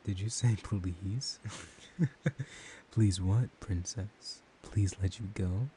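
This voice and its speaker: Man playing a deep, hushed, seductive vampire. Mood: mocking, amused. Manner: slow, teasing, hushed, often slipping into whispers. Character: dominant, seductive male vampire hypnotizing his captive lover. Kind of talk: soft-spoken ASMR roleplay. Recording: steady background hiss.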